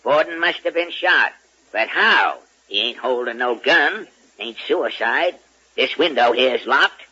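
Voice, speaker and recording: Dopey tough cop, slangy and blunt, really sharp punchy voice, old 1940s radio comedy, dull sound. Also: male voice, medium pitch, expressive, measured pace, a little hiss or hum. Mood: suspicious. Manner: blunt, streetwise, ungrammatical tough-guy patter. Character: gruff, slangy, bungling male police inspector.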